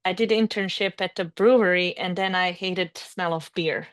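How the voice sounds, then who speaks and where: A woman from Bosnia with a Bosnian accent, a winemaker guest speaking friendly, slightly halting English on a video call. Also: fairly low pitch, no echo.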